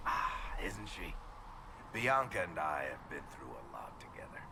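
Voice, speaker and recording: A man voicing a wry, roguish dwarf storyteller in a fantasy video game, gravelly and sardonic. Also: very deep voice, big pitch swings, measured pace, background noise, exaggerated voice. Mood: fond. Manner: sardonic, breezy.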